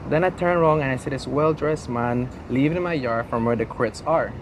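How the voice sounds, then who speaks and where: A man's voice: medium pitch, fairly quick, close to an everyday voice. A man playing a bewildered local homeowner in a comic news skit, talking casually. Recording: noisy outdoor street sound.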